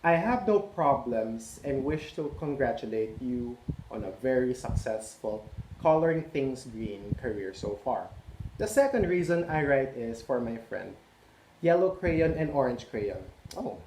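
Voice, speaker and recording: A man's voice: medium pitch, sing-song, unhurried, darkish tone. A man doing a polite green crayon. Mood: content. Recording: echoey room, faint noise.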